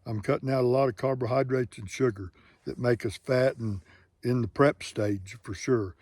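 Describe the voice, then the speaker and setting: A man's voice: medium pitch, normal speed, a little mellow, dry recording. A man in his late sixties, a hiking vlogger, talking candidly and at length to his viewers in a folksy, rambling way. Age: 60s. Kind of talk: candid casual talk to camera. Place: living in Colorado.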